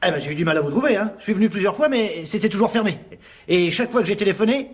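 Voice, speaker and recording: A man's voice: sits high, expressive, rapid-fire. An actor playing an affable, breezy encyclopedia deliveryman in a French radio drama, chatting familiarly with casual tag words. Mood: affable, chatty. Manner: chatty, familiar, frequent "hein" tags.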